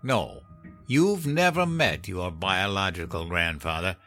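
A man voicing a gruff, cynical old country man with a folksy drawl, telling of hard times in a horror story. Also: deep voice, expressive, slow, pushed and bright, soft background music, heavily acted. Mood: sardonic. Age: older. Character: cynical old country man recounting grim Depression-era hardship. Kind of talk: dramatized horror story narration.

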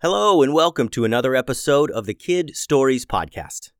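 A man's voice: medium pitch, expressive, fairly quick, no echo, studio quality. Playful man with a scratchy voice. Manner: playful and silly. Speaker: podcast host and storyteller. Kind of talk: kids' story narration.

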